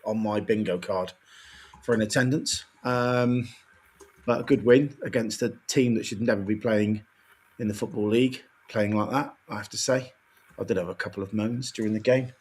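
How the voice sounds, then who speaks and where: A tired man with a croaky voice, co-hosting a casual football chat and ranting with exasperation. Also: lowish voice, fairly even intonation, moderate pace. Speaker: podcast co-host.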